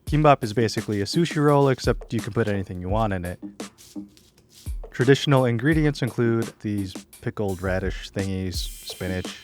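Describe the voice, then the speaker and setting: Low-voiced man narrating over guitar. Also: animated, normal speed. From United States. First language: Korean. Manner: reflective, candid, wry. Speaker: filmmaker narrating a personal film. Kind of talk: scripted personal voice-over narration. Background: guitar music.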